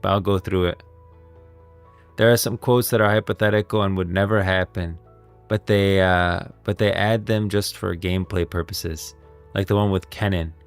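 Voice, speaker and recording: A man's voice: sits low, steady tone, moderate pace, clean tone. A man livestreaming casual, emphatic reaction commentary on game lore, often repeating himself. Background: faint music.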